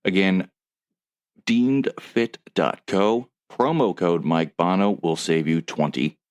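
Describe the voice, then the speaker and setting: A man's voice: deep voice, slow pace. A man hosting a casual sports podcast over a video call, reading sponsor spots and chatting with upbeat energy. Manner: upbeat, reads sponsor spots then chats. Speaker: podcast host.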